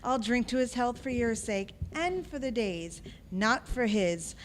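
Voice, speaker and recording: A woman's voice: on the high side, expressive, unhurried, slight background noise. A woman playing a loving, outspoken mother of a poor family in a radio drama, motherly and plain-spoken. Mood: indignant, scornful. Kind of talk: old-time radio drama acting.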